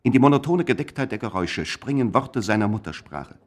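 A man narrating a scripted radio play in a measured, literary manner. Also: mid-range voice, expressive.

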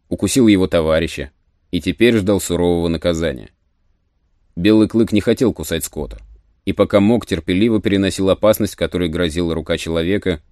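A man narrating an adventure story in a measured, even storytelling manner. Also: deep voice, no room sound, clean studio sound. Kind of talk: scripted narration of a radio play.

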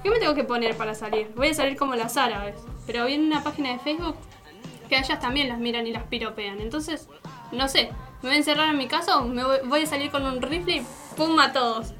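Actress as an indignant high-voiced young Argentine woman. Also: fast talker, a bit bright, faint music behind. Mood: exasperated, indignant. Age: young adult. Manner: colloquial, exasperated, sarcastic. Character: indignant young female student venting to a friend. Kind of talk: naturalistic radio drama dialogue.

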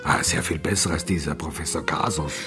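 An actor playing a brooding, obsessed man haunted by loss in a radio crime drama, speaking in a halting, bitter, reflective way. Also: rumbling low, fairly even intonation, over music. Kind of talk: radio play dialogue.

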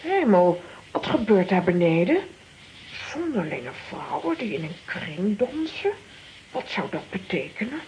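A woman playing an excitable, dramatic, daydreaming aunt in a children's radio play, talking aloud to herself theatrically. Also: medium pitch, a little slow, slight background noise, poor audio. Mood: wondering.

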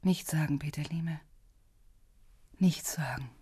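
An actress playing a reserved but tender woman in love, speaking softly and tersely in a radio drama. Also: low voice, slow pace, husky, dry recording, exaggerated voice. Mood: tender, intimate.

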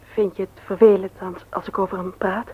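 A woman's voice: medium pitch, little pitch movement, a little fast, mellow, natural voice. An actress playing a candid, talkative widowed mother in a naturalistic radio play. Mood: lively, homely. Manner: talkative, confiding, forthright. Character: candid, chatty widowed mother, frank about her feelings. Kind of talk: naturalistic radio drama acting. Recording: muffled, noisy old radio play recording.

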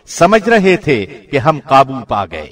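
A man reading a scripture translation from a script in a formal, measured radio-announcer manner. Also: a little high, huge pitch swings. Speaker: translation reader, radio presenter.

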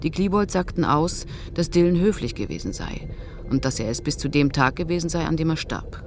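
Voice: medium pitch, expressive, brisk, noisy background, close to an everyday voice. A performer playing a sober official in a radio drama, reading witness statements in a formal, matter-of-fact way.

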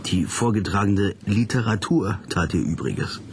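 An actor playing a bored, sardonic detective who narrates a radio crime play in a deadpan, wry way. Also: male voice, lowish voice, measured pace, slightly rough, full character voice.

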